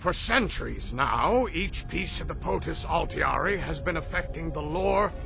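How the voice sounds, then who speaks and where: A man's voice: a little high, animated, measured pace, gravelly. An actor playing an arrogant ancient male alien scientist who lectures in a condescending way, in a video game cutscene. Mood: haughty. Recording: game cutscene with music score.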